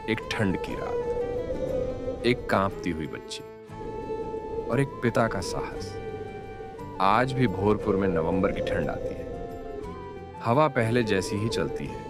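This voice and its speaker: A man narrating a moral story in a slow, expressive, dramatic way. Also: lowish voice. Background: loud background music.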